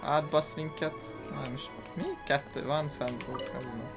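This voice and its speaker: Male gaming host from Hungary: mid pitch, creaky voice, loose informal asides, faint game music behind. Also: steady tone, unhurried. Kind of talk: casual let's-play commentary.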